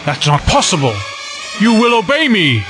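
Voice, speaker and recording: A man's voice: sits high, very animated, moderate pace. A man playing a cruel, commanding Time Lord villain in an audio drama, pompous and menacing. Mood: furious command. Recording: music behind.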